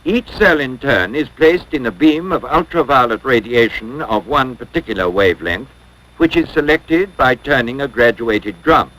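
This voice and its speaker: A man narrating a 1950s educational film from a script in a measured, formal, instructional way. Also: medium pitch, expressive, fairly bright tone, rough recording.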